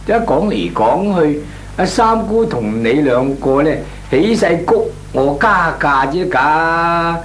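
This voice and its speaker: A man's voice: on the high side, expressive, slow, a bit bright. An actor playing a talkative, cautious small businessman in a Cantonese comic radio drama, hemming and chuckling as he talks. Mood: bashful, wary. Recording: clearly noisy, humming radio recording.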